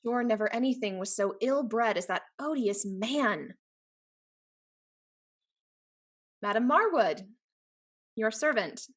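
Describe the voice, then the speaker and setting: A woman's voice: on the high side, expressive, unhurried. A woman playing a spirited, fashionable young heiress in a Restoration comedy, haughty, witty and affected. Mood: indignant. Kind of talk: theatrical Restoration comedy acting.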